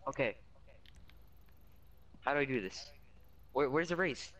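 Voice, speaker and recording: A man's voice: medium pitch, expressive, unhurried, fairly bright tone, a little hiss or hum. A sleep-deprived, giddy man rambling and babbling nonsense words while chatting in a group game session over a headset. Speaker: player in group game chat. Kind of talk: rambling casual gaming banter.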